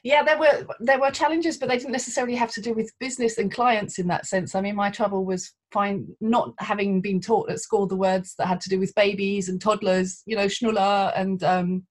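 Middle-aged woman, from London, chatty, hesitant, many fillers, mid pitch. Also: steady tone, brisk, hardly any pauses. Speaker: translator, interview guest. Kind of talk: conversational interview.

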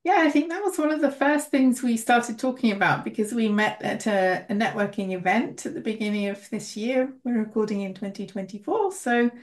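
A woman hosting an English-learning podcast, welcoming her guest and asking clear, friendly questions. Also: fairly high pitch. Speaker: podcast host, English teacher.

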